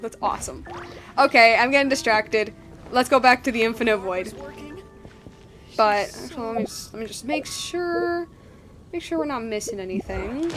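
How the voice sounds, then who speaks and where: High-voiced woman chatting over game music. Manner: informal, slangy, rambling, emphatic repetition. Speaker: video game streamer. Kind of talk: casual gameplay commentary.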